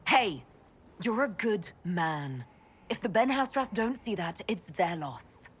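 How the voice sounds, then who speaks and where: A woman's voice: mid-range voice, sing-song, slow pace, husky, exaggerated voice. An actress playing a female elf mage leader, firm, caring and direct, in a video game cutscene. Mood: firm, reassuring. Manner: direct, earnest.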